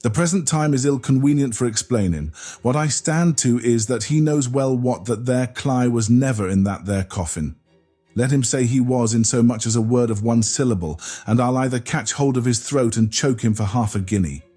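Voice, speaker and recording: A man voicing a belligerent working-class Londoner, blunt and combative in rough dialect. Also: medium pitch, normal speed, mellow, soft background music, pitched above the performer's own voice, lightly acted. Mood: evasive, threatening. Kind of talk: audiobook character reading.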